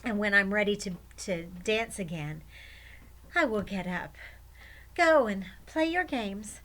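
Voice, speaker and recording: A woman's voice: medium pitch, animated, slow pace, a bit bright. A woman voicing a clever female turtle in a folktale, coaxing and persuasive. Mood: tired, reassuring.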